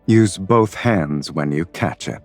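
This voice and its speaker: Game romance lead: low, expressive, confident, unhurried and teasing. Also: male voice, soft background music. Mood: dry teasing. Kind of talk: scripted video game dialogue.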